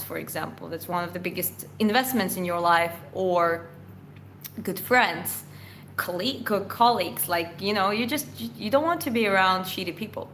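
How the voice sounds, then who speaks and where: A woman's voice: lowish voice, a bit bright, a little hiss or hum. A Georgian woman with an Eastern European accent, whose first language is Georgian, hosting a casual chat Q&A and answering viewer questions in a loose, rambling way with lots of fillers and restarts.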